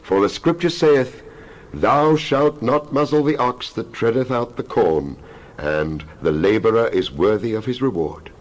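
A man's voice: medium pitch, animated, measured pace, low-quality recording. A man giving a dramatized scripture reading with solemn, emphatic, oratorical delivery. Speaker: Bible reader.

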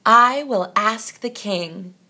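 A woman's voice: mid-range voice, slow pace, bright, forceful tone, exaggerated voice. A woman voicing a shy, earnest young male number in a children's storybook. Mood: determined.

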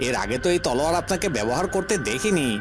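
Fast higher male voice, man acting deferential palace guard, curious, questioning, cartoon fairy tale. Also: lively intonation, music in the background, lightly acted. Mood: surprised, curious.

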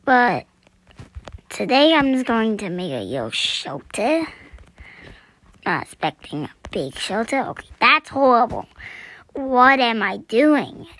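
A young woman chatting through a survival game, her voice scratchy this morning, clearing her throat and often dropping to a whisper. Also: fairly high pitch, very animated, takes it slowly, long pauses, a bit bright. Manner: chatty, self-deprecating, often drops to a whisper. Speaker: gaming channel host. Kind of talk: casual gameplay commentary.

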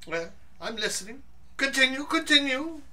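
An actor playing a theatrical, food-loving dandy in an audio drama, grandiose and effusive. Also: male voice, very high voice, sing-song, normal speed, bright, forceful tone, a little hiss or hum, heavily acted. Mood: pompous.

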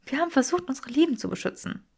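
A woman voicing a gentle, forgiving, weary young woman healer in a fiction audiobook, soft, breathy and earnest. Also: high voice, big pitch swings, racing, voice pushed up, exaggerated voice. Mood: earnest, pleading.

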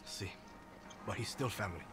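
A man's voice: on the low side, moderate pace, over music, close to an everyday voice. An actor playing a laid-back male guerrilla fighter in a video game, giving brief, easygoing replies. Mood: calm. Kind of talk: video game cutscene dialogue.

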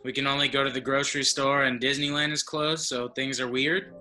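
Man playing a bright-voiced, bemused young date. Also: mid-range voice, level tone, fairly quick, soft background music. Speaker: actor in a comedy sketch. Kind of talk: sketch comedy acting, conversational. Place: curtained room at home, on a video call.

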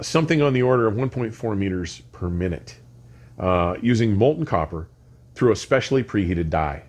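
An older man presents a scripted lesson as the host of an explainer series. His pitch is mid-range and swings widely as he stresses key words. His phrasing is deliberate and exact. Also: darkish tone. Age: older, around 60. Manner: measured, deliberate, emphatic phrasing with precise wording. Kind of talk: scripted educational explanation to camera. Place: furnished home listening room, indoors.

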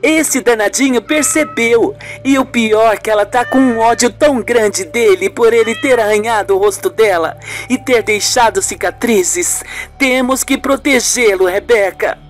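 A woman acts out an earnest friend with accusations to make in a radio soap. She is insistent and explanatory in a high voice, with music underneath. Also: measured pace, natural voice. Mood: grave. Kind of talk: amateur radio soap acting.

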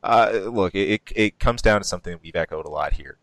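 Very low-voiced man rambling news. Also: expressive, fairly quick, fairly bright tone, no echo. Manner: rambling, many ums and restarts, laughs often. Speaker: podcast co-host. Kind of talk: casual podcast chat about movie news.

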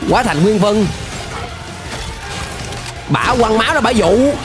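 Young male reaction host, Southern Vietnamese accent, high voice, exclamatory, over a loud soundtrack. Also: takes it slowly. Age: young adult. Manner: exclamatory, animated. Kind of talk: excited reaction commentary. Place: indoor room, gaming setup. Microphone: close desk microphone. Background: music and cartoon soundtrack.

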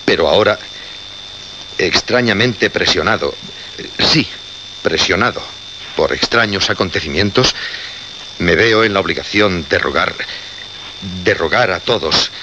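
Deep, anguished radio director, swinging intonation. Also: male voice, expressive, a little slow, pauses often. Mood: solemn, pleading. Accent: Castilian Spanish. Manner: solemn, repeats phrases for emphasis. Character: anguished radio programme director, insistent and grave. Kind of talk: Spanish radio drama acting. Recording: dull, noisy radio recording.

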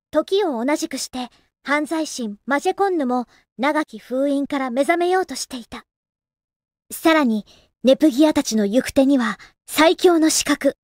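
Girly high pitched anime game heroine, reading next-episode recaps all earnest and dramatic, super dry sound. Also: dry recording. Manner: theatrical, earnest, carefully enunciated. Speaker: narrator of episode recaps and previews. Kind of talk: scripted dramatic next-episode preview narration.

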